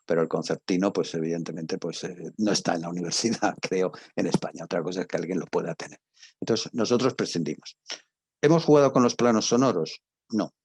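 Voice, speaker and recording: A man with a Castilian Spanish accent and a husky voice, giving an informal lecture as a teacher, explaining haltingly with digressions and check-in questions. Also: low voice, a little mellow, no echo. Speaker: teacher of radio drama.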